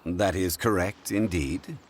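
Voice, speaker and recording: An actor playing a calm, learned man of measured wisdom in a video game, speaking in a courteous, unhurried way. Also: deep voice, noisy background. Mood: composed. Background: game music.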